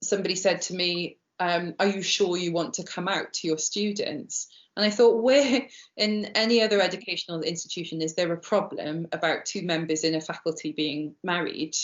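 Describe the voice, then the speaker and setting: Interviewee, woman, 30s: low register, reflective personal talk, echoey room. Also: steady tone, normal speed, few pauses. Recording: muffled lo-fi, roomy echo.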